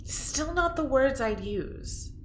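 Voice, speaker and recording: Actress doing blunt sarcastic girl in her twenties for fiction podcast, slow drawn out talk, roomy sound. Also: high-pitched, expressive. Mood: blunt teasing. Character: blunt, snarky, casual young woman. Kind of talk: audio drama acting. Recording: echoey room, faint noise.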